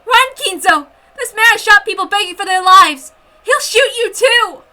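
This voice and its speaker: A woman's voice: very high-pitched, moderate pace, bright, forceful tone, voice pushed up, heavily acted. A woman voicing a feisty, defiant young Italian woman in danger, urgent and emotional, in a story reading. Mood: terrified, pleading.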